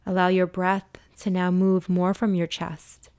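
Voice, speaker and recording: Mellow, low-voiced woman guiding meditation. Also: little pitch movement, smooth, no room sound. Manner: soothing, hushed, unhurried instruction with long pauses. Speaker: yoga and meditation teacher. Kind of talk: guided body scan meditation.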